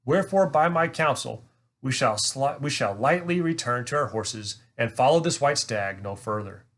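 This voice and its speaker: A man's voice: medium pitch, lively intonation, normal speed, a bit bright. A gracious young queen from a storybook, played by a man reading aloud. She is cautious and courtly, choosing formal, old-fashioned words. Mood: uneasy. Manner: formal archaic courtly speech.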